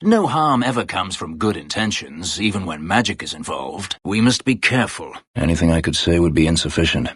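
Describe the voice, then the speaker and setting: An actor voicing a brooding, bitter escaped slave, an elf warrior in a fantasy game, with a husky, gravelly voice and a terse, guarded, formal manner. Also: male voice, low voice, big pitch swings, a little fast, hardly any pauses, fairly bright tone, no room sound, heavily acted. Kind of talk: dramatic fantasy game voice acting.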